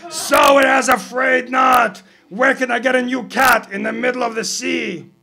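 Man playing blustering pirate captain, yells, high and loud. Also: brisk, vocal fry, slightly rough, a faint crowd, heavily acted. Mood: shouting. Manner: shouting, exasperated.

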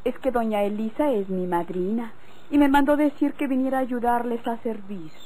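Actress as coy, mellow Mexican country girl. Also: fairly high pitch, normal speed, natural voice. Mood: polite. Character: Celia, coy polite young country woman. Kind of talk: golden-age Mexican radio drama acting. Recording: faintly noisy old radio recording.

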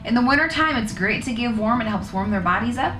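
A woman's voice: a little high, lively intonation, fast talker, echoey room, over music. Chatty woman explaining a recipe. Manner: chatty, conversational, with fillers and restarts. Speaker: homesteading author and video host. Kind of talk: casual how-to talk to camera. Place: living room, seated on a couch.

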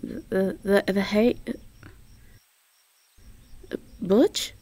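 A woman's voice: medium pitch, lively intonation, unhurried, darkish tone. A woman playing a sweet, flustered Southern belle girlfriend in an intimate roleplay audio drama, with a Southern US accent and an affectionate, stammering delivery. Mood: surprised, concerned.

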